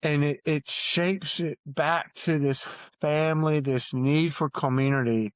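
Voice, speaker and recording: A man's voice: fairly high pitch, fairly even intonation, measured pace, dry recording. A man talking earnestly as an author guest on a podcast, repeating phrases for emphasis. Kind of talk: earnest podcast interview talk.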